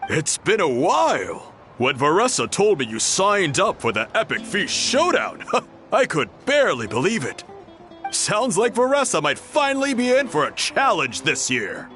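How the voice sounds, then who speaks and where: An earnest young warrior of a tribe in a game. The voice sits fairly high and moves expressively, courteous and friendly, over clear background music. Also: male voice, wildly swooping pitch, moderate pace, sharp tone, full character voice. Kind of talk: video game character acting.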